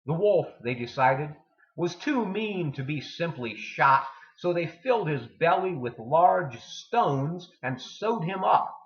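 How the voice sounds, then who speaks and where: A man giving a dramatic poetry reading with deliberate, theatrical delivery and emphatic pauses. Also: fairly high pitch, expressive. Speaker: poetry reader. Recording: dull lo-fi sound in echoey room.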